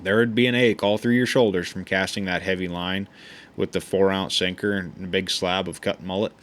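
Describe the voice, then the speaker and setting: A man reads a memoir aloud with a somewhat low voice. He takes his time in a folksy, easygoing manner, often tripping over a word and starting it again. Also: fairly even intonation, a bit bright. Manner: unhurried, folksy, with frequent stumbles and restarts. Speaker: audiobook reader.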